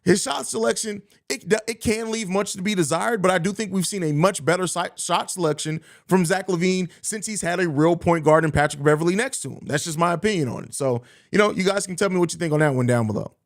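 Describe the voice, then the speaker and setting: A man's voice: sits high, fast talker, hardly any pauses. A man hosting a sports podcast live stream, casually reading viewer chat aloud and answering it in a conversational way, often ending phrases with "man.". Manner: excitable sports fan talk. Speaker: podcast host.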